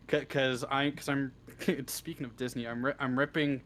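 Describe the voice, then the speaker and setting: A man's voice: mid-range voice, steady tone, a little slow, fairly bright tone. A man chatting casually as an interview guest, blunt and informal, swearing freely. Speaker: interview guest, script writer. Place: live online voice stream.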